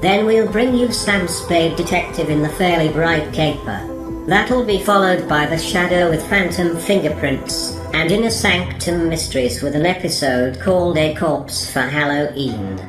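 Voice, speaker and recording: A woman's voice: sits low, a little slow. A woman host reading a scripted, spooky-themed introduction to an old-time radio program. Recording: echoey room with prominent music behind. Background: music.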